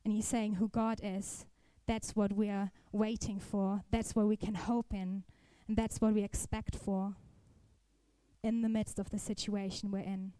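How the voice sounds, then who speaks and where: A woman's voice: medium pitch, mellow, dry recording. A young woman giving a careful, explanatory sermon to a church congregation, occasionally restarting her words. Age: young adult. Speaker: student speaker at a church service.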